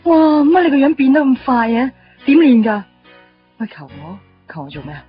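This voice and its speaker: A woman's voice: high-pitched, big pitch swings, a little fast. An actress playing a confident, teasing young female graduate in a radio drama. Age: young adult. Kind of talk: Cantonese radio drama acting. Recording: dull radio drama recording with music.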